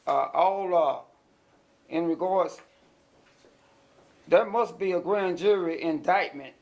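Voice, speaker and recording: A man's voice: high voice, slow pace, a little mellow. A man speaking as a defendant at a hearing, objecting insistently and repeating himself as he interrupts. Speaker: defendant at an arraignment. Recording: noisy courtroom recording.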